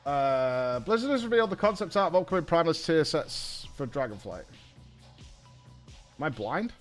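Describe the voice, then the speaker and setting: A man's voice: on the high side, very animated, normal speed, faint music behind. A British man hosting a gaming show, giving casual, blunt live reactions and thinking aloud.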